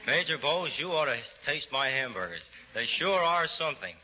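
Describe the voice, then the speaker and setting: A man's voice: fairly high pitch, sing-song, moderate pace, sharp tone, big-room sound. An upbeat young man from New York state chatting as an amateur contestant on a 1930s radio recording. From New York state, USA. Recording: dull, noisy 1939 radio recording.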